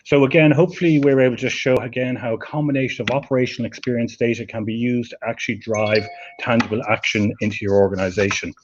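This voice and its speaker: A man's voice: mid-range voice, steady tone, fast. Man moderating over noisy lo-fi audio. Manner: polite, with fillers. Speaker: session moderator. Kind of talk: moderating a webinar. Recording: dull lo-fi audio with clear noise.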